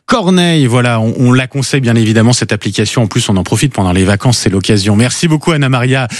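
A man hosting a morning radio show, friendly and brisk as he leads the interview. His voice is mid-pitched and sounds dry and close, with no room around it. He talks into a studio microphone. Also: expressive, no echo. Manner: friendly, brisk, wraps up with announcements.

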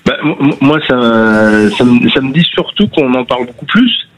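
A man's voice: mid-range voice, lively intonation, moderate pace. A man in his fifties calling in to a radio talk show, speaking hesitantly with many restarts and fillers. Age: 50s. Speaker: radio caller. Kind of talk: call-in chat. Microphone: phone. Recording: dull phone-line sound.

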